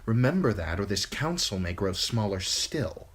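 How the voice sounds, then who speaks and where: A cold, imperious queen who speaks clipped and threatening, low and husky, as a woman reads her in an audiobook. Her words drop to a hushed menace. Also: very animated, moderate pace, exaggerated voice.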